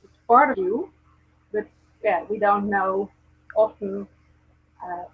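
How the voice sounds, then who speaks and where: A Dutch-accented woman speaks earnestly as a guest, pausing on ums and restarting her sentences. Her voice is a little husky. The audio is thin and phone-like, as from a video call. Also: medium pitch. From Netherlands. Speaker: guest, presence coach. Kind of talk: casual video call chat.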